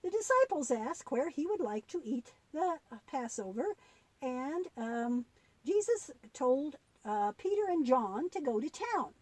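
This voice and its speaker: A kindly old granny puppet, played by a woman, tells children a Bible story in a high, animated voice. She is patient and gently instructive, pausing as she goes, and the sound is close and dry. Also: no room sound, low-quality recording.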